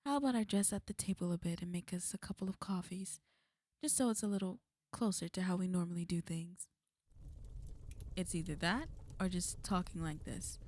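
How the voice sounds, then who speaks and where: A woman's voice: fairly low pitch, moderate pace, a little mellow, no echo, natural voice. A woman playing a flirty, possessive villain in an ASMR roleplay, speaking intimately to the listener in a teasing, commanding way. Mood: teasing, casual.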